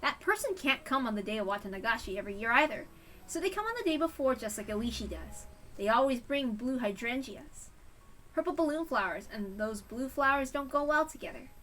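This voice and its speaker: A woman's voice: high voice, a bit bright, far from the mic. A woman reading a story aloud as an informal amateur narrator. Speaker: amateur reader of visual novel. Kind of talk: story read-aloud with character voices.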